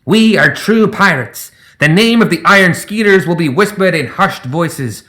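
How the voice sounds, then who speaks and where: A man's voice: high-pitched, animated, moderate pace, sharp tone, voice pushed up. A man voicing a grandiose gremlin sky pirate captain in a pompous, theatrical audiobook character voice.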